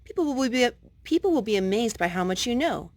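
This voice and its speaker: A woman's voice: fairly high pitch, expressive, a little fast, a little mellow, pitched above the performer's own voice. A woman voicing a kindly, earnest man who trains a horse, in a storybook reading. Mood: earnest, encouraging.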